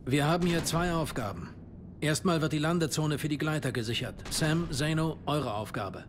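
A man's voice: on the high side, moderate pace, noisy background, lightly acted. A man playing an earnest, plain-spoken young army sergeant who commands his squad in a war game. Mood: brisk, commanding. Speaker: squad leader. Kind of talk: dubbed war game dialogue.

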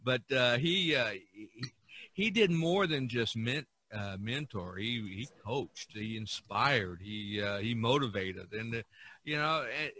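Man, podcast host, mid pitch, sharp bright voice, halting casual talk. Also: animated.

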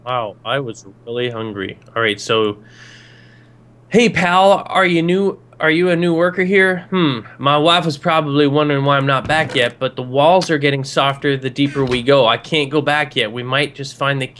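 A young man co-hosting a game playthrough, easygoing and goofy with quick one-liners. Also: mid-range voice, a bit bright. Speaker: gaming YouTuber, co-host. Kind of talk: casual let's-play chat.

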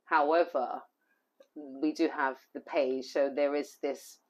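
Low-voiced hoarse woman reading tarot. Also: fairly even intonation, takes it slowly, poor audio. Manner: rambling, repeats phrases, many fillers. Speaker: tarot reader. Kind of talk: intuitive tarot reading to camera.

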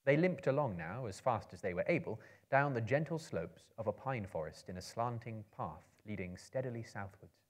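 A man in his thirties giving a theatrical, measured dramatic reading of a fantasy story. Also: on the low side, expressive. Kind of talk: dramatic reading of a fantasy novel to a live audience. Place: book-lined room with a live audience.

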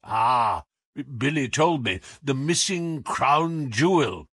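A man reading an audiobook as a loyal, earnest doctor, concerned and halting, in a husky, gravelly voice. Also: medium pitch, lively intonation, a bit bright, no echo, clean studio sound, full character voice. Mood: anxious, earnest. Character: loyal, anxious, earnest male doctor, detective's companion.

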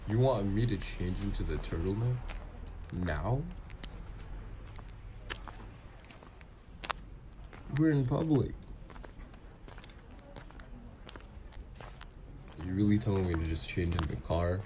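Man acting deep, gravelly, flirty mafia boss lover. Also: sing-song, unhurried, warm tone, a little hiss or hum, heavily acted, poor audio. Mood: embarrassed, flustered. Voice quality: slightly nasal.

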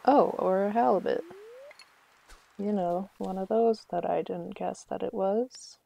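A woman's voice: medium pitch, a little slow, vocal fry, mellow, dull audio. A woman giving casual, chatty commentary on a live game stream, talking to herself with mock exasperation.

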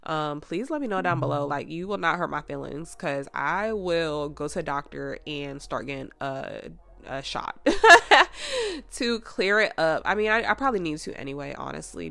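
Female nail vlogger, slightly low voice, hoarse and congested, chatty and rambling, dry close sound. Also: lively intonation, moderate pace, fairly bright tone, no echo. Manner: chatty and rambling, full of "like" and "you guys". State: hoarse and stuffed up. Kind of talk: casual nail art tutorial.

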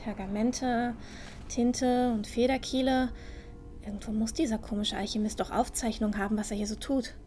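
Woman playing a curious, high-voiced young village girl. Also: steady tone, moderate pace, over music, lightly acted. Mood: curious, musing. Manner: muttering to herself, curious. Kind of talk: fan-made fantasy audio drama.

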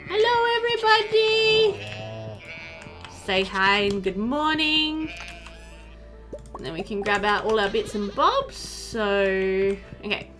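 A woman's voice: mid-range voice, sing-song, takes it slowly, long pauses, over music. A young woman doing casual, chatty let's play commentary, thinking aloud as she plays, indoors at a computer. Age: young adult. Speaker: gaming video host.

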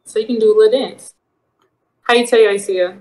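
A woman's voice: medium pitch, fairly even intonation, quick pace, darkish tone, rough recording. A woman in her twenties on a video call, blunt and unimpressed. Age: 20s. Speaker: online date contestant. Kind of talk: casual video call chat.

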